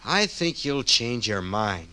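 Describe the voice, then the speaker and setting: An actor playing an earnest, persuasive adult son of a railroad tycoon in a 1950s radio dramatization. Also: male voice, mid-range voice, sing-song, slow pace, over loud music. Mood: coaxing.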